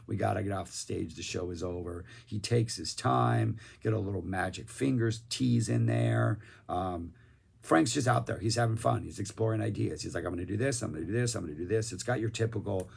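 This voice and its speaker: Hoarse male music reviewer, raspy and husky, casual rambling delivery. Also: lowish voice, a little mellow. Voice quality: gravelly, raspy. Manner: rambling, enthusiastic, lots of fillers. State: losing his voice, hoarse.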